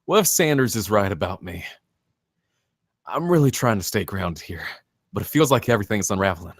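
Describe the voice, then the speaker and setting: A man's voice: fairly low pitch, animated, a little fast, dry recording, clean studio sound. An actor playing a troubled, unraveling man haunted by guilt in a screenplay table read. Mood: anxious, sincere.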